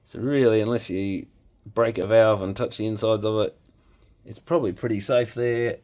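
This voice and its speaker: Male, Australian accent, lowish voice, understated off-the-cuff workshop commentary. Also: fairly even intonation, moderate pace, a little mellow. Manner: unscripted, musing, understated. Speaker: electronics repairer presenting his channel. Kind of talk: casual hands-on commentary.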